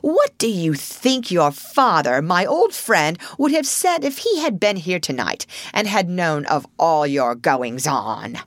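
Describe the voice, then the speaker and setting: A woman voicing a stern, dignified, fatherly old male badger in a storybook, authoritative and deliberate. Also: mid-range voice, huge pitch swings, a bit bright, no room sound, pitched above the performer's own voice, full character voice. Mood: severe.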